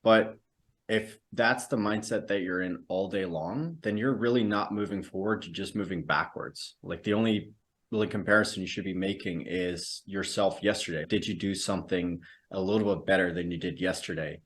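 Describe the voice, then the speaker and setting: Low-voiced Dutch man, reflective guest. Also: normal speed, fairly bright tone. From Netherlands. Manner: reflective, explanatory. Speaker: podcast guest. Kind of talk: conversational interview answers.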